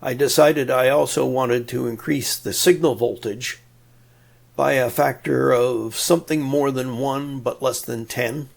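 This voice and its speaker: Slow-paced, creaky-voiced man explaining electronics. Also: mid-range voice, steady tone. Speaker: presenter of an electronics tutorial. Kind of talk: explanatory technical narration.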